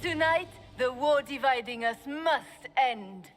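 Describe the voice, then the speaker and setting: An actress voicing a regal empress giving a measured, solemn speech to her court in a video game. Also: sits high, animated, long reverb tail, faint music behind.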